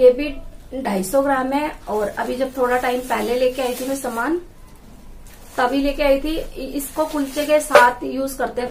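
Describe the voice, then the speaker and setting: A woman's voice: high-pitched, slight background noise. A woman in her thirties, a housewife vlogger, chatting casually as she shows her groceries on camera in a small tiled room. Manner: chatty, explaining while handling jars and bags. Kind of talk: casual home vlog showing groceries. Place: small indoor room with tiled walls and wooden door.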